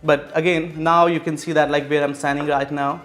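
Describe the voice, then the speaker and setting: A man's voice: a little high, little pitch movement, moderate pace, fairly bright tone. A man from Pakistan in his thirties, a business guest answering interview questions with many fillers and restarts. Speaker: real estate executive guest.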